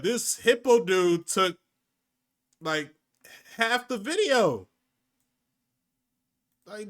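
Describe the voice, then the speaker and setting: Reaction host: young American man, amused, slangy, swears, animated pitch, unhurried. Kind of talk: casual reaction commentary.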